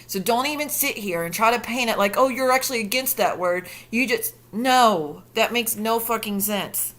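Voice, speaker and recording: A woman's voice: a little high, normal speed, fairly bright tone. A woman streaming reaction commentary, sarcastic, profane and incredulous.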